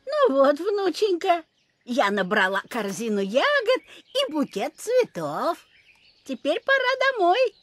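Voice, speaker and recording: A woman's voice: high voice, sing-song, measured pace, full character voice. A woman voicing a kindly, weary old grandmother in a cartoon, affectionate and fussing. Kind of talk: cartoon voice acting. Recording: loud music behind the voice.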